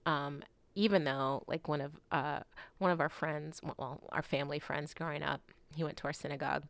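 A woman in her forties talking candidly as an interview guest, frank and animated, swearing casually and sometimes dropping into soft asides. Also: sits low, fairly quick, creaky, no room sound. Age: 40s. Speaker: guest artist.

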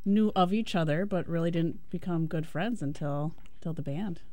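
A woman from Wisconsin who plays cello and sings, telling stories with many ums in a relaxed radio interview. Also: fairly low pitch, moderate pace, no echo. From Wisconsin, USA. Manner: friendly storyteller, many ums. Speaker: cellist and singer.

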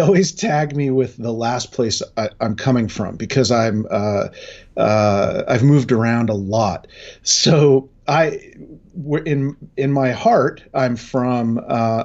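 A middle-aged American man from New England speaking in a halting, reflective way as a guest in a casual interview. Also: medium pitch, a little mellow, lo-fi sound. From New England, United States. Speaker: interview guest, industry analyst.

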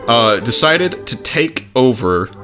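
Lively joking male podcast co-host. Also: medium pitch, takes it slowly, over music. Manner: joking, laughs often. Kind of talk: casual chat.

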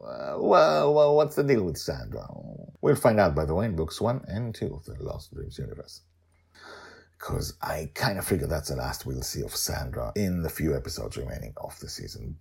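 A man hosting a story podcast, talking in an unedited, conversational way with emphatic, dramatic delivery and a gravelly, husky voice. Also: deep voice, darkish tone.

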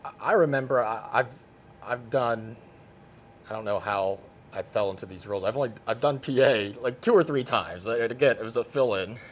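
A man hosting a casual interview podcast, talking in an animated, self-deprecating, conversational way. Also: mid-range voice, normal speed, a little hiss or hum. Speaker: podcast host, play-by-play sportscaster.

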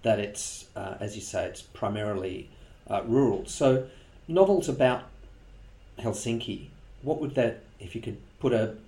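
A man interviewing, asking a long question in a searching, halting way. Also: lowish voice, warm tone. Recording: echoey room.

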